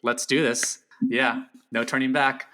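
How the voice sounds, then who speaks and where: Male guest critic, mid-range pitch with a bright, forward tone, reflective and casual, searching for the right words. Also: flat delivery, measured pace. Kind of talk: casual film discussion.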